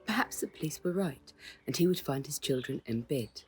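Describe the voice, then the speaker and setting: A woman reading her own children's story aloud in a measured way, with dramatic stresses in the dialogue. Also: deep voice, lively intonation, faint music behind. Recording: noticeable background noise.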